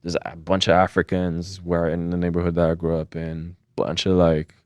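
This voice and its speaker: Chill young guy from geneva, super low voice, dry close sound. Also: moderate pace, no echo. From Switzerland, Geneva. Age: young adult. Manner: laid-back, swears casually, animated retelling. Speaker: podcast guest. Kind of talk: casual conversation.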